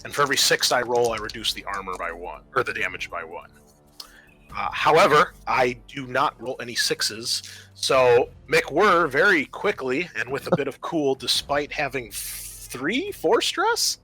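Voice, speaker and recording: A man's voice: mid-range voice, sing-song, measured pace, bright, forceful tone, slightly rough, low-quality recording. A man acting as game master, narrating the scene and explaining the rules of a tabletop roleplaying game.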